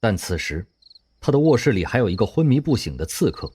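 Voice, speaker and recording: A man narrating an audio drama in an expressive storytelling manner. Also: fairly low pitch, brisk, a little hiss or hum.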